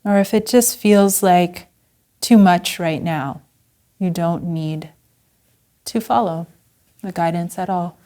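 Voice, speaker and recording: A woman Zen teacher leading a guided meditation in a gentle, unhurried manner with long pauses. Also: fairly low pitch.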